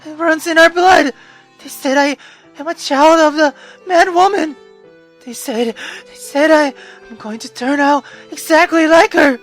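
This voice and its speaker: A man's voice: extremely high pitch, measured pace, a bit bright, faint music behind, pitched above the performer's own voice. A man voicing a distraught, frightened young woman in a tearful wail for a visual novel. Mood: anguished, tearful. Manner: wailing, tearful delivery.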